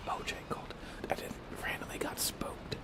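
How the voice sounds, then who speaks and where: A man giving murmured ASMR commentary while livestreaming a video game, often repeating his phrases in playful mock grumbling. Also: moderate pace. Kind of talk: whispered ASMR gaming commentary.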